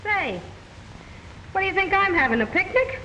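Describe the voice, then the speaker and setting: An actress playing a sassy, quick-tongued young woman in a 1940s radio drama, on a dull lo-fi old recording. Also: extremely high pitch, sing-song, sharp tone, long reverb tail, a little hiss or hum, exaggerated voice.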